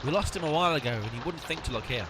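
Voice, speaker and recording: An actor playing the exasperated, sensible half of a comic duo in an amateur audio drama, bossy and long-suffering. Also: male voice, a little high, animated, racing, a crowd in the background, background noise, close to an everyday voice. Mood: exasperated, insistent.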